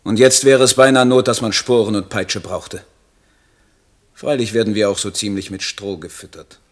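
An actor playing an idealistic, embittered young poet in a literary radio play, declaiming solemnly and rhetorically. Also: male voice, fairly low pitch, fairly even intonation, normal speed, natural voice. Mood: impassioned. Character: idealistic, embittered young male German poet, brooding and impassioned.

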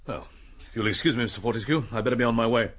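An actor playing a measured, courteous, probing police inspector in a radio drama. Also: male voice, medium pitch, a bit bright, close to an everyday voice. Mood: polite, probing.

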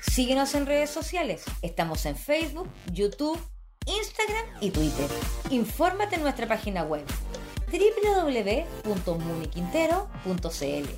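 A woman's voice: sits high, wildly swooping pitch, a little slow. A woman reading a promotional announcement. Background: loud music.

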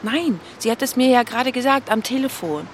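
A woman's voice: a little high, animated, fairly quick, a little hiss or hum, close to an everyday voice. An actress playing a nervous, candid young woman in a radio play, with a hesitant, confiding manner. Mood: uneasy. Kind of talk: naturalistic radio play dialogue.

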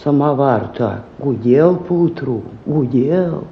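A woman's voice: rumbling low, animated, slow, lo-fi sound, slight background noise, full character voice. A woman playing a pious, fretful old peasant nanny who mutters laments in a folksy way in a radio drama. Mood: fretful, foreboding.

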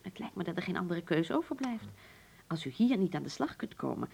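A genteel hotel proprietress of the old school in a radio play. Her voice is low and gravelly at the edges. She speaks formally and nostalgically, pausing for dramatic weight like a practised storyteller. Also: sing-song, darkish tone, exaggerated voice. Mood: concerned, resigned. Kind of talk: Dutch radio drama acting.

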